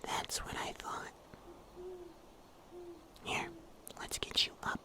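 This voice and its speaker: A man playing a wry, protective huntsman in a breathy, whispered ASMR roleplay. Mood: wry, coaxing. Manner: slow, hushed, gently teasing. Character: mysterious huntsman in dark woods, wry and protective.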